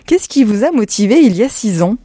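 A woman radio host introducing a guest and asking interview questions, with a smooth, measured presenter delivery. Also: mid-range voice, big pitch swings, dark tone, no echo. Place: radio studio. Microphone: studio microphone.

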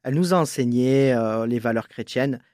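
A relaxed man, answering interview questions in an unhurried, easygoing way. He hesitates often on fillers. The sound is close and dry, with no room around it. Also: medium pitch, dry recording. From Marseille, France. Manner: easygoing, many filler pauses, laughs lightly. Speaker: interview guest.

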